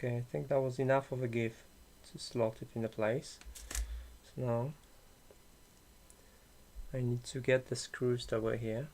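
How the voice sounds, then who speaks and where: Guy thinking out loud while building something, gravelly low-key voice, slightly broken english. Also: little pitch movement, measured pace, pauses often, a little hiss or hum. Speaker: maker streamer. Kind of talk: casual live-stream chat while building.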